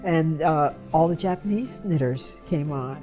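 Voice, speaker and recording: Low, mellow woman leading a knitting call. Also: a little slow, faint music behind. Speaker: knitting designer and class leader. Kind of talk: casual call presentation and interview.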